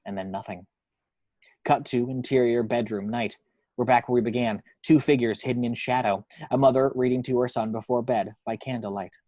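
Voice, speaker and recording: Guy reading screenplay action lines, mid pitched voice, really dry sound with no room echo. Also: steady tone, moderate pace, mellow. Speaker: reader of action descriptions. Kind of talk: scripted screenplay narration. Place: at home.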